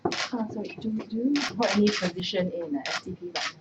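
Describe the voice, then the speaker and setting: A woman's voice: medium pitch, fairly quick, heavy background noise. A woman reporter politely and hesitantly asking questions at a press conference.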